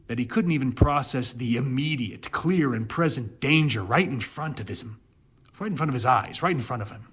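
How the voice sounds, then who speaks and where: Animated, slightly husky man explaining scripture. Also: mid-range voice. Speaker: host, Bible commentator. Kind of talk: dramatic scripture commentary.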